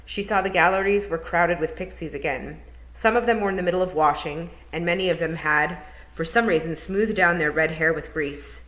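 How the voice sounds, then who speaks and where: A woman's voice: on the low side, a bit bright, poor audio. A woman narrating a children's book expressively, as a teacher would at storytime.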